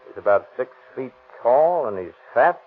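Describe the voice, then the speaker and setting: A brisk, businesslike police sergeant who keeps every exchange short and to the point. His mid-pitched voice has a husky edge and comes through the narrow, phone-like sound of a 1930s broadcast. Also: sing-song. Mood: firm. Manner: firm, clipped, businesslike. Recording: tinny 1930s radio broadcast.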